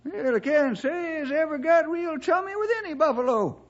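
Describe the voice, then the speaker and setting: A feisty old-timer in a vintage radio play. His voice is very high and strained, with lively swoops and rustic, excitable delivery. The recording sounds dull and narrow. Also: moderate pace. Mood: curious, excited. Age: older. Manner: excitable, folksy, rustic grammar. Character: Stumpy, feisty, folksy, curious old-timer ranger sidekick. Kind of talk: old radio drama character acting.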